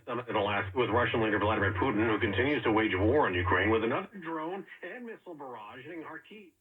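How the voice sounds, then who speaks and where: A man's voice: medium pitch, expressive, fast talker, slightly rough, narrow, tinny audio. A man reading scripted news, heard over an AM radio. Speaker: news reader. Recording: thin AM radio reception.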